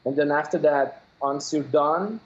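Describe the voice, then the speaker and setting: Quebecois man in his 40s, French first language, hesitant second-language English, muffled call audio. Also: a little high. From Quebec, Canada. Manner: halting, searches for English words, many uh fillers. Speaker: game developer guest. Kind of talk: phone-in interview. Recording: dull lo-fi call line.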